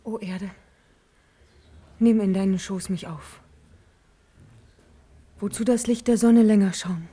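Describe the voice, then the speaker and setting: A tender young noblewoman in classical tragedy on radio. Her voice is very dark and husky, delivering verse with anguish. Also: medium pitch, fairly even intonation, takes it slowly, long pauses. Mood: anguished lament. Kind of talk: classical German radio drama acting in verse.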